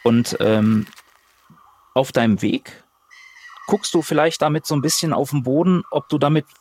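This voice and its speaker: Guy with husky voice playing rpg, casual improvised chat, kinda hesitant. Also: medium pitch, moderate pace, darkish tone. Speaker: tabletop role-play player. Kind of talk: improvised in-character casual talk.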